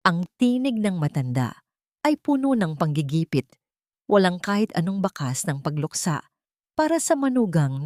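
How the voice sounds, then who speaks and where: Female storyteller, low voice, solemn scripted narration, close dry sound. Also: a little mellow, no echo.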